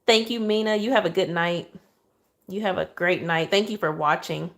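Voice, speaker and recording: Southern woman, soft calm lower voice, chatty livestream cake decorator at a kitchen counter. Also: normal speed. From Oklahoma, USA. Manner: chatty, thanks viewers often, asides while working. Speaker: cake decorator live-streaming. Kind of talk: casual livestream chat while piping icing.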